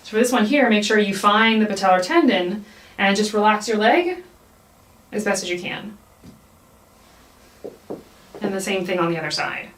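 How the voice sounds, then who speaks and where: Female instructor, mid-range pitch, step-by-step demonstration, explanatory, roomy echo, slightly distant. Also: animated, normal speed, pauses often. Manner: explanatory, repeats key points. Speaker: clinical skills instructor. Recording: echoey room, somewhat distant mic.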